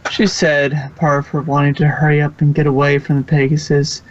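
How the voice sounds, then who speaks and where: A man's voice: on the high side, completely flat delivery, a little fast. A man narrating fan fiction from a script in an informal way, with occasional stumbles. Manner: informal, stumbles and self-corrects. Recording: dull lo-fi recording.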